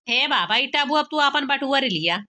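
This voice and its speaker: Woman voicing sharp, high-voiced village daughter-in-law. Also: animated, moderate pace. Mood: flustered, evasive. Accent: Awadhi. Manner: dramatic complaining, colloquial. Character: exasperated, embarrassed young village daughter-in-law, gossipy and scolding. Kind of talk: amateur cartoon comedy acting.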